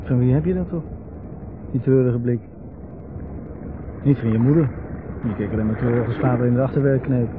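An actor plays an aloof older brother who speaks bluntly and with dry mockery. His voice is mid-pitched, in a muffled 1970s radio play recording with clear ambient noise behind it. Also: brisk, long pauses, natural voice. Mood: mocking, needling. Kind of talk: 1970s radio drama acting.

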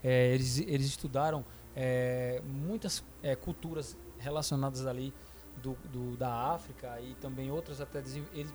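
A man co-hosting a casual film podcast, speaking haltingly with many fillers. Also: medium pitch, fairly even intonation, darkish tone, faint music behind. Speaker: podcast co-host. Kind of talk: casual movie chat.

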